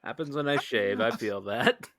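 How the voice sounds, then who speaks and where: A man's voice: medium pitch, moderate pace, fairly bright tone, no echo, clean studio sound. A man on a podcast panel, discussing a film in a casual, conversational way.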